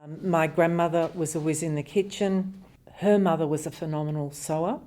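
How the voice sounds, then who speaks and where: A woman's voice: low voice, fairly even intonation, normal speed, noisy background. A woman home economist speaking admiringly in an interview answer.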